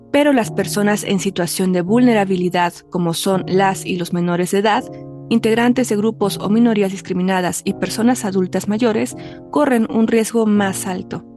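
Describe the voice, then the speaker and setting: A woman hosting a radio program in a clear, measured reading voice. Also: medium pitch, darkish tone, soft background music. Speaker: radio host. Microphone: studio microphone.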